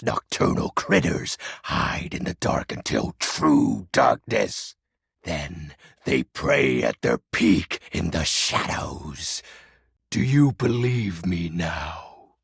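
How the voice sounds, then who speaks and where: Raspy, theatrical lord of darkness. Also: male voice, sits low, very animated, takes it slowly, dry recording, studio quality, heavily acted. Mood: ominous, grandiose. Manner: pompous, theatrical, archaic grandiose diction. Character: melodramatic, self-styled male dark lord, personification of darkness. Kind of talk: video game character voice acting.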